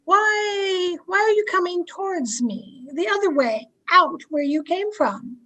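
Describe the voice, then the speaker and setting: A woman playing a fearful, obedient wife in an old-time radio horror drama, with tremulous, halting, melodramatic delivery. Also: very high voice, a bit bright. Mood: terrified. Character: frightened, obedient wife driven to murder.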